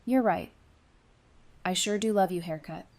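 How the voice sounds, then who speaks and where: A woman playing a warm, antsy young newlywed in an audio drama, talking casually and affectionately. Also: lowish voice, a little slow. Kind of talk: fan audio drama acting.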